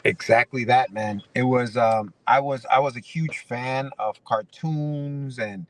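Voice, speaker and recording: A man's voice: mid-range voice, a little slow, no room sound, recorded from a distance. A man in his forties from Ecuador, a guest comic writer chatting casually in an interview, rambling with many restarts and fillers. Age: 40s.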